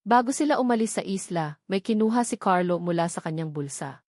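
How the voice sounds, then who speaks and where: A woman's voice: fairly low pitch, animated, a little fast, a little mellow, no echo. Woman narrating a sentimental romance, very dry sound. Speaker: audiobook narrator. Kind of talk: scripted romance story narration.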